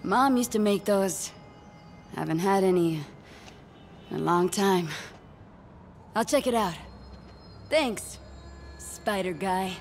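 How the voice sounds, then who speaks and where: A woman's voice: mid-range voice, takes it slowly, long pauses, a little mellow, faint music behind. An actress playing a proud, guarded young homeless woman who speaks tersely.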